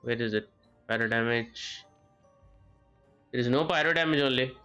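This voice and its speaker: A man giving casual live gameplay commentary, halting and muttering to himself as he streams. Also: medium pitch, sharp tone. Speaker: game streamer. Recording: game music behind voice. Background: game music.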